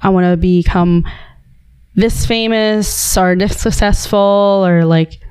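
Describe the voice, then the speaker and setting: A woman's voice: medium pitch, steady tone, slow, a little mellow, clean tone, dry recording. A woman hosting a casual conversation, asking questions and musing on memories and money. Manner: reflective, interviewer asking questions. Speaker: host.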